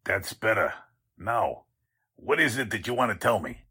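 A man's voice: lowish voice, big pitch swings, normal speed, bright, forceful tone, exaggerated voice. An actor playing a scheming, pompous con man who poses as a count, in 1940s radio drama style. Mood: irritated, bossy. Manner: suave affected courtesy switching to gruff bossiness.

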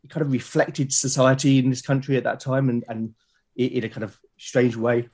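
A middle-aged man with a southern English accent speaks at a medium pitch as a guest in a casual interview. He is reflective and a little hesitant, telling stories in a conversational way. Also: steady tone, fairly quick, darkish tone, husky. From South London, UK.

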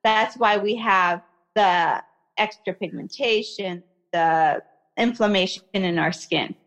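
A woman's voice: medium pitch, slow pace, fairly bright tone, muffled recording. A woman raised in America by Iraqi parents, explaining skin care in a chatty interview and often checking in with "okay?" and "you know". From Iraqi family, raised in America. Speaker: skincare expert guest.

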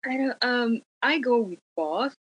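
A woman's voice: on the high side, animated, measured pace, breathy edge, no room sound, dull audio. Woman from Uganda telling her story. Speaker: fashion model, guest. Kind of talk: casual conversation.

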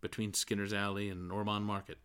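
A man's voice: lowish voice, little pitch movement, brisk, a bit bright, smooth, lightly acted. Man voicing an aloof, mumbling young intellectual. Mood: dry assent. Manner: mumbling, noncommittal. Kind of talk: literary audiobook dialogue.

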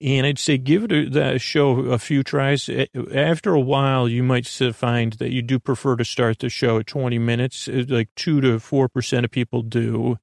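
Gravelly, rambling male podcast host. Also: steady tone, normal speed, few pauses, no echo, clean studio sound. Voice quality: gravelly, creaky. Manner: meandering, hesitant, self-interrupting, off on tangents; self-deprecating, low-key. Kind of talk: rambling, unscripted bedtime monologue.

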